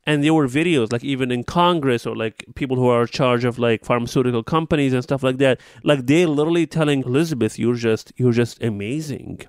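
Smooth-voiced man co-hosting a podcast. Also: mid-range voice, a little fast, few pauses, no room sound, studio quality. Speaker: podcast co-host. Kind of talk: casual chat.

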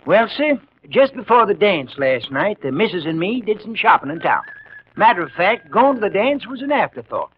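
A man's voice: high-pitched, very animated, moderate pace, a little hiss or hum. A man playing a folksy, apologetic rancher with a rural American accent and hesitant, deferential manner in a 1950s radio western. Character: apologetic, folksy male Texas rancher with a complaint. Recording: dull, husky old 1950s radio recording.

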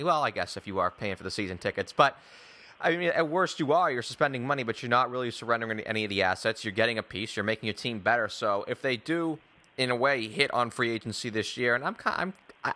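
A man's voice: on the low side, bright, forceful tone. A man hosting a sports discussion, giving wordy opinions and building long arguments in a conversational way. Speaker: sports show host.

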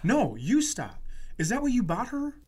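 A man's voice: very high-pitched, wildly swooping pitch, normal speed, slightly rough, no room sound. A man hosting a podcast in a casual comedic chat style, with an effusive, teasing, plain-spoken manner.

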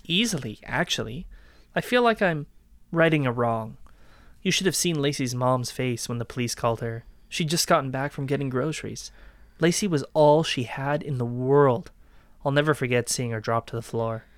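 A man's voice: mid-range voice, no echo, lightly acted. Cold, gloating killer ex-boyfriend, acted by a man, dry sound. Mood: smug, menacing. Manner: casual, calmly taunting, unhurried menace. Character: cold, gloating male killer taunting his ex-girlfriend. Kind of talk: dramatic reading of a dark audio story.

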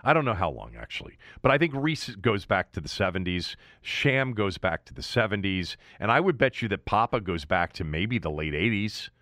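Low-voiced American sports podcast host, man. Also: sing-song, moderate pace, hardly any pauses, a bit bright, no echo, clean studio sound. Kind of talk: casual sports talk.

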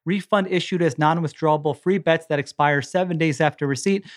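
Male sports podcaster, slightly high voice, casual host read. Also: a little fast.

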